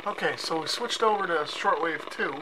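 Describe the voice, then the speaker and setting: A man giving a casual, low-key hands-on radio demonstration, musing aloud as he goes. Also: moderate pace. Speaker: radio reviewer. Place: concrete-walled cellar. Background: shortwave radio noise.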